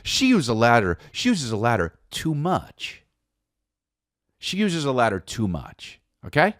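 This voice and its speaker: An American man hosting a comedic podcast, telling personal stories in a casual, rambling, animated way with repeated phrases for emphasis. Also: mid-range voice, unhurried, no room sound, studio quality.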